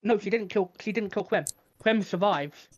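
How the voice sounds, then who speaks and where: Halting podcast co-host. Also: medium pitch, muffled recording. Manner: halting, searches for words. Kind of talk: casual podcast debate.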